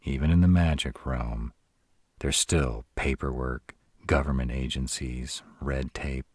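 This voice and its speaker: A man playing a protective, caring were-dragon with dry humor in an intimate one-sided roleplay audio drama, speaking in a casual, measured way. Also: fairly even intonation, a little mellow, dry recording, full character voice. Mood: serious, wary. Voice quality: very deep, husky. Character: gruff, protective male were-dragon, caring, dryly sardonic.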